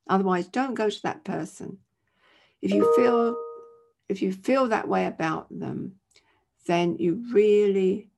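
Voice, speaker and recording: A woman delivers a conversational sermon from home, earnest and full of casual asides. Her voice is croaky from a chesty cough, gravelly with creak and a husky, rough edge. She sits at a middling pitch for a woman. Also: a little mellow. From England. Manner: earnest, conversational, many "you know" asides. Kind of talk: informal sermon to camera. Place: indoors at home.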